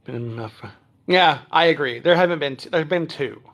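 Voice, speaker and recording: A rambling, friendly-sounding livestream host, a man with a somewhat raised pitch. He is from Texas but keeps to a trained standard American accent, chatting loosely on a phone stream at home. He fills pauses with ums and clears his throat often. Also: a bit bright. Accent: none, trained standard American. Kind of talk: casual livestream chat answering viewer comments. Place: at home near Dallas.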